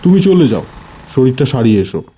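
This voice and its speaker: A caring, reassuring friend played by a male storyteller speaks encouragingly in an audio story. The voice is dark but expressive, over faint background noise. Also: mid-range voice, a little fast, natural voice. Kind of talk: horror audio story dialogue.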